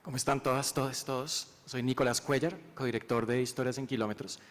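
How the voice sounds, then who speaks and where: A man's voice: medium pitch, fairly even intonation, brisk. A man from Colombia introducing himself on a panel, enthusiastic and often restarting his sentences. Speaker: panelist, co-director. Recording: reverberant hall with faint ambience.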